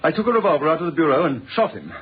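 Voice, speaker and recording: A man's voice: fairly high pitch, big pitch swings, very fast. An actor playing a distraught, confused British husband who stammers through his confession in old-fashioned radio drama style. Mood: agitated, defiant. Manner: stammering, agitated, broken phrasing. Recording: dull, noisy old radio recording.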